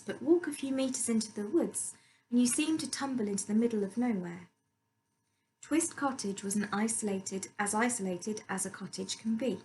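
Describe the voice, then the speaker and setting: A woman's voice: medium pitch, darkish tone. Woman reading a story, noisy recording. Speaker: storyteller reading a book aloud. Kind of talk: scripted story narration.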